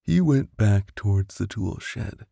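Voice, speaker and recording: A man's voice: on the low side, animated, measured pace, gravelly, warm tone, no room sound. A man narrating a bedtime story expressively and theatrically, close on a studio microphone. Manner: theatrical, with whispers and sound-effect voices. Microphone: close studio condenser microphone.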